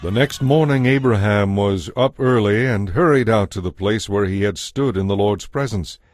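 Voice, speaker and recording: A man's voice: on the low side, moderate pace, darkish tone, faint music behind. A man giving scripted dramatic narration of a Bible story as the narrator.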